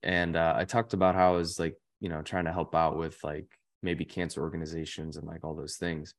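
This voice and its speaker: A man's voice: extremely low pitch, level tone, a little fast. A young man in his twenties, a podcast guest telling stories in a loose, rambling way full of "like" fillers. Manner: rambling, heavy use of "like" fillers. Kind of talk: casual chat.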